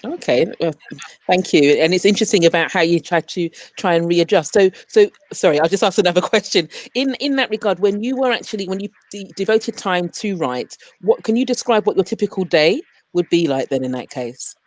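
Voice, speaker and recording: A woman's voice: on the low side, expressive, no echo, rough recording. Friendly co-host woman, dry sound. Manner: friendly, quick to laugh, slightly halting. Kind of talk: video call interview.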